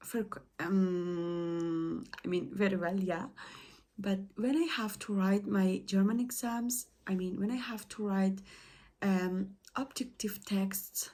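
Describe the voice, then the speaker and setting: A woman's voice: medium pitch, mellow. A young woman with a Persian accent, a native Persian speaker, talking casually and hesitantly to her followers in a study vlog. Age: young adult. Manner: hesitant, halting, many fillers and self-corrections. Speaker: study vlogger. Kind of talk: casual talk to camera.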